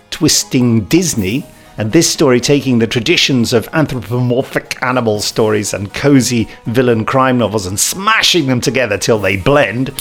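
Jokey podcast man, medium pitch. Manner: jokey, giggly, stumbles while reading. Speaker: podcast host. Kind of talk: casual chat and reading out reviews.

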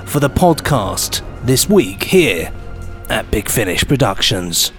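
A man's voice: lowish voice, sing-song, a little slow, fairly bright tone, faint music behind. A man co-hosting a podcast in a casual, chatty style, jokey and rambling. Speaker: podcast co-host.

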